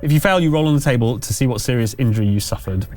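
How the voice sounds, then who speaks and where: Male game master, mid-pitched voice, improvised narration and rules talk, music faintly underneath. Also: rapid-fire, darkish tone.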